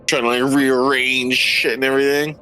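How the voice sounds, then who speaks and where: A man's voice: medium pitch, flat delivery, moderate pace, sharp tone, a little hiss or hum. A man chatting casually as a player in a tabletop game.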